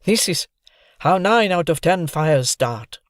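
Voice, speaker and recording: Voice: medium pitch, expressive, slow pace, dry recording, studio quality. A narrator voicing a tearful middle-aged hotel concierge who laments dramatically. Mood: lamenting. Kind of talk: audiobook character voice.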